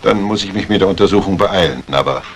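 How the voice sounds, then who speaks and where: An actor playing a grave, businesslike doctor in a 1950s German radio crime drama, sober and deliberate. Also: male voice, low voice. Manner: sober, deliberate, matter-of-fact. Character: grave, businesslike male doctor examining for police. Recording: faintly noisy vintage radio drama recording.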